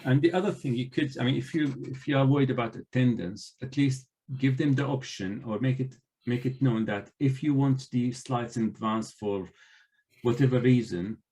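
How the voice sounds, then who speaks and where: A man's voice: mid-range voice, mellow. A man who is not a native English speaker, a librarian trainer speaking earnestly on an online panel, with frequent restarts. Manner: earnest, halting, many restarts.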